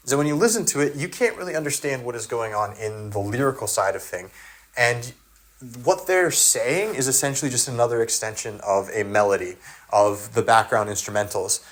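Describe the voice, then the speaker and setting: Guy just talking to camera, rambling, lots of ums and restarts, mid-pitched voice. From English-speaking country. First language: English. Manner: rambling, thinking aloud with many fillers and restarts. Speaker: video essayist. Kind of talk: casual solo monologue.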